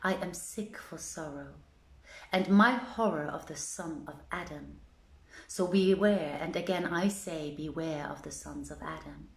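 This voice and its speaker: A woman's voice: deep voice, measured pace, gravelly. A woman voicing a frightened female duck from a storybook, solemn and emphatic in archaic words, in a roomy, echoey recording. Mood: fearful, warning.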